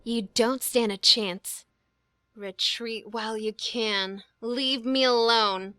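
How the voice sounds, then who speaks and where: A woman's voice: a little high, steady tone, slow pace, sharp tone. A woman playing a defiant, desperate young angel in an anime visual novel, with dramatic delivery. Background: background music.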